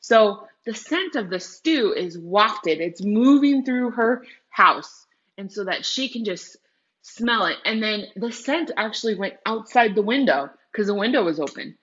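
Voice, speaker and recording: A woman's voice: mid-range voice, expressive, unhurried, a bit bright, dull audio. A woman teacher reading a picture book aloud to children, explaining words and often asking the young listeners questions directly. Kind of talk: read-aloud lesson with vocabulary explanations and questions to young viewers.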